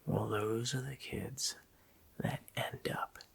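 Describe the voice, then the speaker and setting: A man voicing an evil, mocking child-snatching Christmas demon in a slow, menacing, breathy rasp for an ASMR roleplay. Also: lowish voice, steady tone, exaggerated voice. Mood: threatening. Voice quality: breathy, gravelly rasping whisper.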